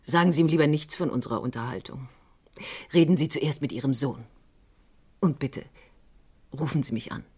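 An actress playing a cautious, sympathetic confidante in a German radio crime drama, with a husky voice and discreet manner. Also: female voice, rumbling low, moderate pace, pauses often, darkish tone, heavily acted. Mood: discreet, earnest.

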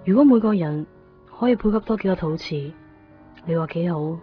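A woman's voice: fairly low pitch, lively intonation, moderate pace, close to an everyday voice. A woman playing a wistful, innocent young woman in love in a radio drama, dreamy and confiding. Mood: tender, wistful. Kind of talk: Cantonese radio drama narration and dialogue. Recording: dull lo-fi radio recording, music behind.

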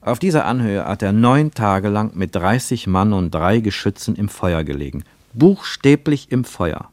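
A man reading scripted literary narration for radio in a measured, solemn manner. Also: fairly low pitch, big pitch swings, a little mellow, no room sound.

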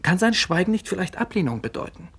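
Earnest young man, critical, didactic, husky, lightly raised pitch, 1960s radio play acting. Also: very animated, quick pace, full character voice. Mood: earnest arguing. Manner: didactic, insistent, articulate.

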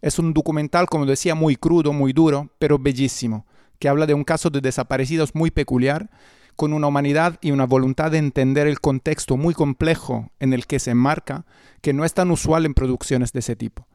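A man's voice: a little high, steady tone, brisk, a little mellow, smooth, dry recording, clean studio sound. A middle-aged man presenting a radio programme as its host in a scripted style.

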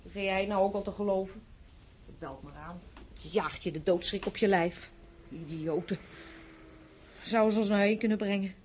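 A woman's voice: mid-range voice, moderate pace, long pauses, a little mellow, lightly acted. A woman acts out a tired wife and mother in a radio drama. She scolds and nags, dismissive and full of doubt. The old recording carries faint noise behind her. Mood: scornful, disbelieving. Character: tired, nagging, sceptical wife and young mother. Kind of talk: naturalistic Dutch radio play acting.

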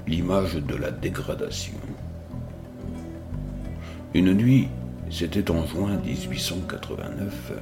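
Very deep, gravelly male audiobook reader. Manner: slow, deliberate reading. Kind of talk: scripted literary narration. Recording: reading over background music.

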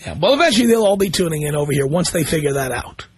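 A man with a strongly husky, breathy voice that sits higher than most men's. He hosts call-in radio from a studio mic, blunt and teasing with callers. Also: animated, very fast. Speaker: radio talk show host. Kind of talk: call-in talk radio banter. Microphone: studio microphone.

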